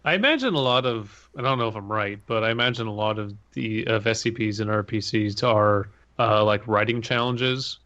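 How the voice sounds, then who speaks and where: A man's voice: on the low side, normal speed, hardly any pauses, bright, forceful tone, dull audio. A man co-hosting a podcast, chatting casually with comic asides and reading entries aloud. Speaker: podcast co-host.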